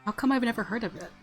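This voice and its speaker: A woman hosting a let's play, reading story narration and reacting casually in a playful, expressive way. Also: medium pitch, breathy edge. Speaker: gaming video host.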